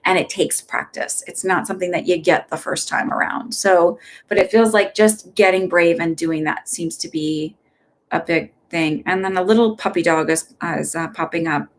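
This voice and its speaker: Middle-aged woman, Texas-raised, no accent, lowish voice; relaxed, rambling, upbeat live-stream reader. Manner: chatty and upbeat, rambling, laughs often; gentle and reassuring when giving advice. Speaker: psychic medium giving live readings. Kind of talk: casual live-stream readings.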